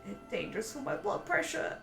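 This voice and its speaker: An excitable, flirtatious woman reacting live to game trailers, gushing, gasping and echoing phrases she hears. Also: high-pitched, a little fast, gravelly, over music. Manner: gasps and exclaims, echoes heard phrases. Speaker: gaming reaction host.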